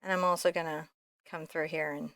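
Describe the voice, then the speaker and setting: A woman's voice: sits low, little pitch movement, fairly quick, gravelly, a bit bright, no room sound. A woman giving a casual step-by-step painting lesson, thinking aloud in a candid, informal way with rambling asides. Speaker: painting instructor.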